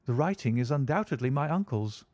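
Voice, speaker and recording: A man's voice: expressive, brisk, a little mellow, no echo, close to an everyday voice. A man voicing a frightened young Englishman who speaks earnestly and pleadingly, reading an audiobook. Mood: anxious. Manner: earnest, pleading, raised pitch.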